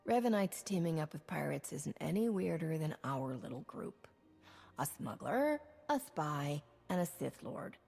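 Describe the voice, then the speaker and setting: A woman's voice: sits low, very animated, unhurried, vocal fry, a little mellow, slightly rough, faint music behind, full character voice. A woman voicing a wry, cocky smuggler in a video game, with a casual way of talking.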